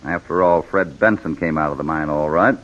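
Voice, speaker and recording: An actor playing a calm, decisive cowboy hero in an old western radio drama, firm and commanding. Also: male voice, rumbling low, brisk, in a large hall. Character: calm, decisive heroic cowboy in his prime. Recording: dull noisy vintage radio recording.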